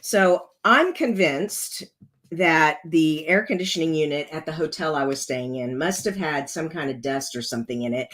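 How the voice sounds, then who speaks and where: A woman with a rough, congested voice hosting a casual card-making tutorial, chatting her way through each step as she works. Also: low voice, normal speed, a bit bright.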